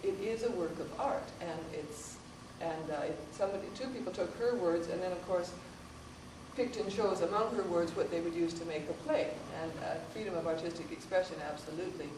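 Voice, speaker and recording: Panelist speaking from afar in an echoey hall. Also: mid-range voice. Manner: measured, thinking aloud with restarts. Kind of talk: panel discussion statement. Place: theatre hall. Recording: distant, echoey hall with noise.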